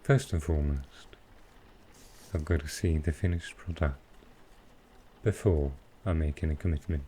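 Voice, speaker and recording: Man playing a very deep, flirty villain. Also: a little hiss or hum. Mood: casual, suggestive. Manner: teasing, unhurried, softly suggestive. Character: smooth, flirtatious male supervillain courting his tailor. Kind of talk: intimate ASMR roleplay acting.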